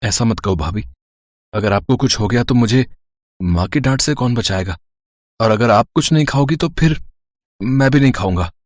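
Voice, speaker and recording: A man's voice: medium pitch, lively intonation, normal speed, pauses often, no echo, studio quality, lightly acted. A man playing a playful, sweet-talking young brother-in-law in a family storybook drama. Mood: coaxing. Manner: playful, coaxing.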